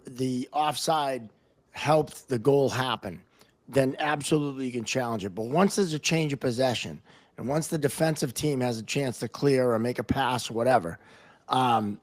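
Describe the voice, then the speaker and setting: A man with a medium-pitched voice co-hosts a casual discussion. He explains his points in measured steps, in the manner of an interviewer setting up a question. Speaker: podcast co-host, interviewer.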